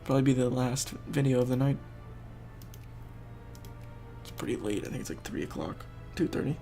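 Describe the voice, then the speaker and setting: Congested, gravelly man narrating gameplay. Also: mid-range voice, moderate pace, dark tone, faint music behind. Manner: rambling, thinking aloud while playing. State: congested, losing his voice. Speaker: gaming video host. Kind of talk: casual gameplay commentary.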